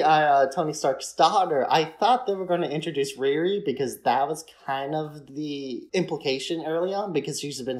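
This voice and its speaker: A man's voice: a little high, a little mellow. A man co-hosting a podcast, talking through a film in a casual, explanatory, conversational way. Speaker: podcast host.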